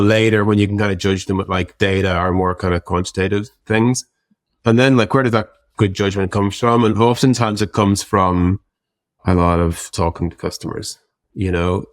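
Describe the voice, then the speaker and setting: A man's voice: low-pitched, steady tone, moderate pace, no echo. A man speaking as an interview guest, explaining things casually and reflectively in an informal conversation. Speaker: interview guest, tech product executive.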